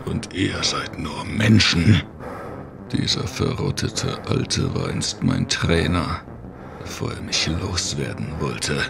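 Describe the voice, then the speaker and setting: A man voicing a sinister, mocking giant ghost monster with a gravelly growl in a horror audio drama. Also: very deep voice, sing-song, background noise, exaggerated voice. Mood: menacing accusing. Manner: slow, menacing, taunting.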